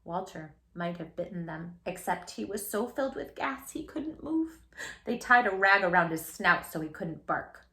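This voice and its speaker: A woman reading a picture book aloud for children in an animated, playful way, talking directly to young listeners. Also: lowish voice, normal speed, roomy sound. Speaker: children's storytime host.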